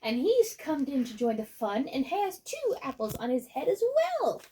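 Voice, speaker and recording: A woman's voice: high voice, very animated, moderate pace, mellow, a little hiss or hum. A woman playing a chatty, silly robot who hosts a playful children's story time with sing-song exclamations and asides. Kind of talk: children's read-along with asides.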